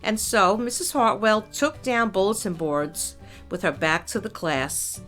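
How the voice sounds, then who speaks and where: Woman narrator, children's read-aloud, very slow measured delivery, clear emphasis, soft background music. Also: mid-range voice. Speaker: children's book reader. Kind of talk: picture book read-aloud, scripted narration.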